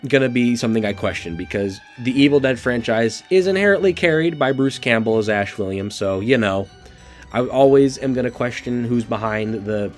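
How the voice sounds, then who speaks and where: A man's voice: medium pitch, animated, normal speed, faint music behind. An eighteen-year-old man hosting a casual spoken movie review, sounding congested from allergies, loose and profane with lots of fillers and restarts.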